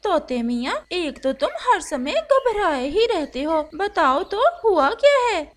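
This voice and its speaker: A woman's voice: very high-pitched, sing-song, measured pace, full character voice. A woman voicing a bold, protective, hot-tempered female crow with a scolding, commanding manner in a children's cartoon story. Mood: impatient. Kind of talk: animated children's story dialogue.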